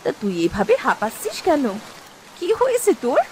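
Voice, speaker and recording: A woman's voice: high voice, huge pitch swings, moderate pace, a little mellow, a little hiss or hum, poor audio. A woman voicing an anxious village wife, the elder sister-in-law in a cartoon story, fretful and lamenting. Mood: puzzled, worried.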